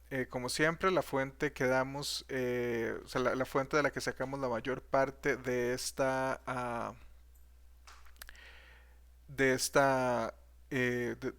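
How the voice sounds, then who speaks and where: A man's voice: medium pitch, fairly bright tone, clean tone. A man teaching math history in a video lecture, hesitant, with frequent fillers and restarts.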